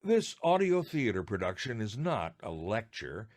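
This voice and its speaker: A man's voice: lowish voice, sing-song, measured pace, darkish tone. An older American man co-hosting a podcast, presenting scripted material with theatrical, emphatic phrasing. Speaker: podcast co-host. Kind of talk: scripted radio-style presenting.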